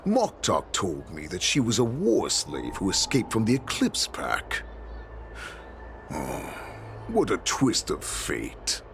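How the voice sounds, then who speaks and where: An actor playing a gloating wolf-man warlord in a game cutscene, slow and mocking, with a deep gravelly voice. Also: wildly swooping pitch, a little hiss or hum, heavily acted. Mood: taunting. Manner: slow, taunting, with savage laughter. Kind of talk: video game cutscene acting. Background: faint game score.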